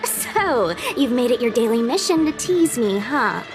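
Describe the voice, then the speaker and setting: A woman's voice: a little high, very animated, unhurried, over music. A woman voicing an earnest, plucky, slightly bashful young female guard in an anime game cutscene. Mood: playful, modest.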